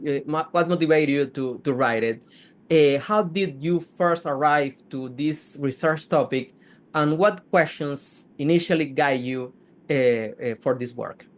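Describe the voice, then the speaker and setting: A male host opens an interview and asks his questions in halting English, repeating words as he searches for them. His voice is a little higher than most men's. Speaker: host, program director. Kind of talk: hosting an interview.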